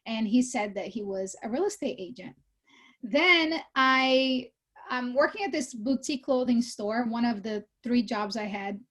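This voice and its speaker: A woman in her thirties, born in Brazil, talks through her story as an interview guest. Her voice sits fairly high, and she speaks in an animated, conversational way. She often stops to correct herself mid-sentence. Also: unhurried. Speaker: guest, real estate CEO. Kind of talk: casual interview chat.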